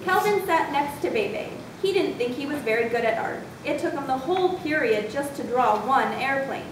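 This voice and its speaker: A woman's voice: expressive, normal speed. A woman reading scripted story narration as a narrator in amateur readers' theatre. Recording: distant, very echoey room, noisy with rumble.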